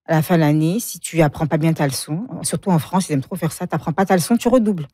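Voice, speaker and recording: Low, mellow-voiced woman reflecting openly. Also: animated, fast, no echo, studio quality. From Congo. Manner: reflective, frank, laughs often. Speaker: guest. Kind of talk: emotional personal interview.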